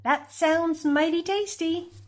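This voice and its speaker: A woman voicing a friendly, hungry female police officer in a read-aloud picture book, with a bright, lilting delivery. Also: very high voice, slow, pitched above the performer's own voice. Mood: delighted.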